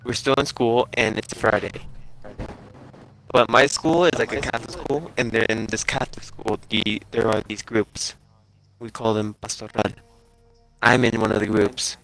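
A young man telling a casual story in a halting, rambling way full of self-corrections, as a game streamer chatting. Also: lowish voice, fairly even intonation, creaky, fairly bright tone, faint music behind, rough recording. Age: young adult. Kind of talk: casual storytelling over gameplay.

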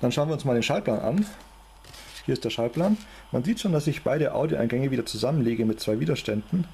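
A man's voice: medium pitch, expressive, a little fast, creaky, noisy background. A man with a German accent giving an informal, matter-of-fact technical demonstration as a hobby electronics presenter.

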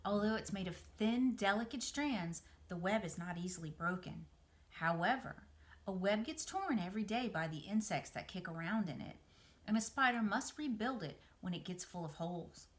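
A woman's voice: mid-range voice, lively intonation, moderate pace. Middle-aged woman reading a children's book. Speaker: museum educator, reader. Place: small room by a window, indoors.

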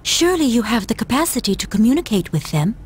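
A woman playing a cold, aloof young female antagonist in a video game, detached and deliberate in delivery. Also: a little high, sing-song, breathy edge. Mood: cold, commanding.

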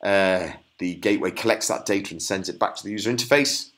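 Low-voiced man giving an informal presentation. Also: fast, fairly bright tone. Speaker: beekeeper and company founder presenting. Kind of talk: informal product presentation over slides.

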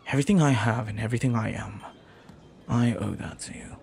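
A man playing a flirty, earnest pirate captain in an intimate audio roleplay, speaking softly and haltingly with tender devotion. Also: mid-range voice, gravelly, slight background noise, lightly acted. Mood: earnest, grateful. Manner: soft, halting, tender, sometimes near whisper.

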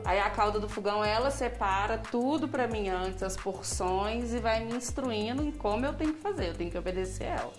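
A woman's voice: medium pitch, brisk, hardly any pauses, reverberant, faint music behind. A woman in her thirties, a mother being interviewed at home, explaining things earnestly and step by step into a reporter's handheld mic. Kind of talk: casual interview answers. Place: home kitchen indoors.

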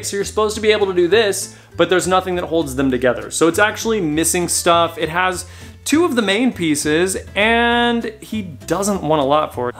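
A man in his thirties filming a casual haul vlog in a cluttered storage room, talking straight to the camera in an animated, self-mocking way. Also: high voice. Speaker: reseller vlogger.

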